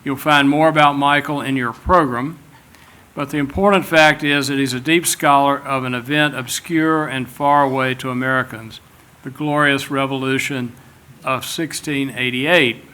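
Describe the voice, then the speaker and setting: A man acting as moderator introduces the next speaker in a relaxed way, with a few hesitations. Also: mid-range voice, moderate pace, clear, resonant tone. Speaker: event moderator. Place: lecture hall.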